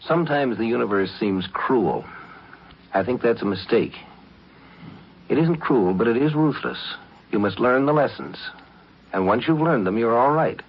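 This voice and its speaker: Husky male radio narrator. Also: mid-range voice, lively intonation, normal speed, long pauses. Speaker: host and narrator. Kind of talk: scripted 1950s radio narration. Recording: dull, lo-fi 1950s radio recording.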